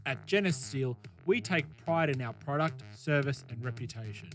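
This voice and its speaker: A man reading a radio advertisement in a measured, formal way. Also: mid-range voice, expressive. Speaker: advertisement announcer. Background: faint music.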